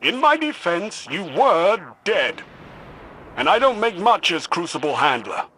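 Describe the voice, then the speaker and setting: A stern, reluctant game veteran, dry and exasperated. His voice is a touch high and hard-edged, unhurried yet lively in its rises and falls. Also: slight background noise, full character voice. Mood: reluctant, defensive. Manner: deadpan, exasperated. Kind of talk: video game dialogue.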